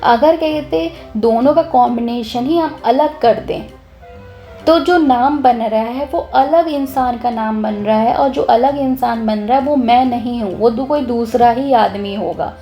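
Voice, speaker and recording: A young woman, slightly hoarse, explaining a chemistry lesson in a casual, lively way, often restarting her sentences. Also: a little high, a little slow, faint music behind. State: hoarse, clears her throat. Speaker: chemistry teacher.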